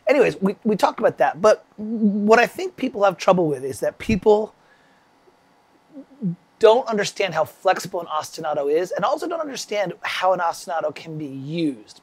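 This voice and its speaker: Chatty man with a summer cold. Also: sits high. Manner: rambling, chatty, talks to viewers by name. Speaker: music composition teacher. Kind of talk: casual livestream lesson.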